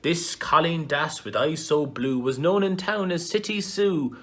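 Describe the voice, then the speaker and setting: A man's voice: a little high, a little slow, a bit bright. A man with an Irish accent reciting a comic rhyming ballad in a mock-dramatic, storytelling manner. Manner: mock-dramatic storytelling with big swings in volume. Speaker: performer reading a piece.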